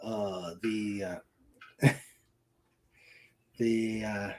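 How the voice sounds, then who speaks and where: A man hosts a relaxed live chat in a soft-edged, mellow voice. He speaks slowly and hesitantly, rambling and repeating himself as he reads out comments from viewers. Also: on the low side. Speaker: show host. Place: at home in a room with a couch.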